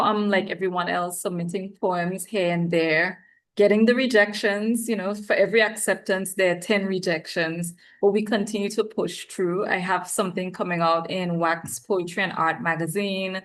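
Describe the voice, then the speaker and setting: A woman's voice: fairly low pitch, fairly even intonation, moderate pace. A woman poet from the Virgin Islands, speaking at an open reading. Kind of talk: poetry reading and informal talk.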